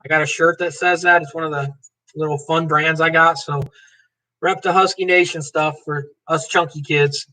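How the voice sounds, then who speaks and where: A man's voice: on the high side, fairly even intonation, normal speed, bright, forceful tone, low-quality recording. A man hosting a live stream, chatting loosely and joking as he reads viewers' comments aloud and answers them by name. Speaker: livestream host. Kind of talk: casual livestream chat reading comments.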